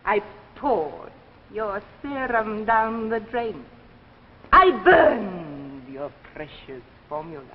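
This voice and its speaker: An actress playing a spiteful, taunting wife in a theatrical 1950s drama style, feigning innocence. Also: female voice, medium pitch, big pitch swings, slow pace. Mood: cold triumph. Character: spiteful wife gloating over destroying her husband's work. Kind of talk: 1950s anthology drama acting. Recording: old muffled hissy broadcast, reverberant hall.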